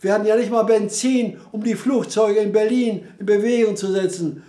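A man's voice: high voice, steady tone, moderate pace, dark tone, reverberant. An older man recounting wartime memories in an interview, speaking with indignant passion in a living room. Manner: impassioned, indignant storytelling.

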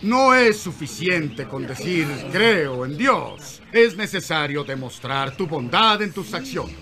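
A man playing a fervent desert prophet in an animated Bible film, declaiming commands like a preacher. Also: fairly high pitch, slow, crowd noise, exaggerated voice. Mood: fervent, commanding.

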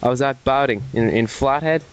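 A man's voice: mid-range voice, moderate pace. A man with an Australian accent, rambling and stammering through a prank phone call, swearing when he gets worked up. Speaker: prank caller. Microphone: telephone.

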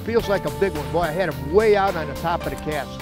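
A man's voice: on the high side, expressive, fast. An older man chatting casually and colloquially as a fishing show host, outdoors on a boat. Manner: folksy, colloquial. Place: outdoors on a boat on open lake. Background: faint music.

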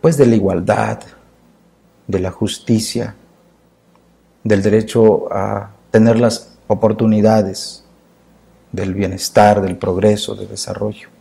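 Gravelly husky guy in his 50s, calm earnest answers, studio interview. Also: lowish voice. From Mexico. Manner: measured, earnest, lists ideas in phrases. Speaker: guest, poet and chronicler. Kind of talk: reflective interview talk. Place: dark indoor studio.

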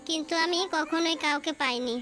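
Woman acting a sorrowful high-voiced fairy mother. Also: fairly quick. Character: sorrowful fairy mother longing for lost daughter. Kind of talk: cartoon voice acting. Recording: loud music under the voice.

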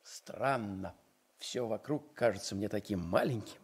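A man's voice: on the low side, unhurried, husky, exaggerated voice. An actor playing an earnest, wonder-struck ship's doctor and traveller in a radio play, telling his story expressively. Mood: puzzled. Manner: expressive, reflective first-person telling. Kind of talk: Soviet radio play acting. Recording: 1970s radio play, sound effects and music.

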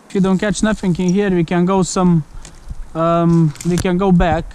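A man vlogging his fishing outdoors from a head-mounted camera, speaking non-native English, calm with bursts of excitement. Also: sits high, clean tone. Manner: talks to viewers, calm, then bursts of excited exclamations. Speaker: angler filming his fishing trip. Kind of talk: casual outdoor fishing vlog. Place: outdoors on a canal towpath. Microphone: head-mounted action camera.

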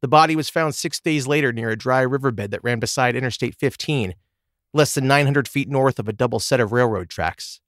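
A man's voice: medium pitch, a little fast, fairly bright tone, no echo, clean studio sound. A man hosting a true crime podcast, reading a scripted narration. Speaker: podcast host.

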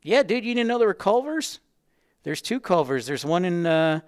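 Male educator-host for a casual livestream. Mid-pitched, clean voice, rambling conversational delivery, very little room sound. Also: clean tone, no echo, studio quality. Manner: chatty, rambling, addressing viewers by name. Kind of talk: casual livestream chat with viewers.

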